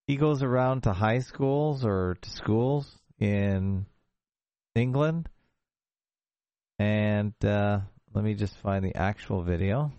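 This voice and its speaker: A man hosting a show, casually introducing a video clip in a rambling, unhurried way. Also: fairly low pitch, dark tone, no echo. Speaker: show host.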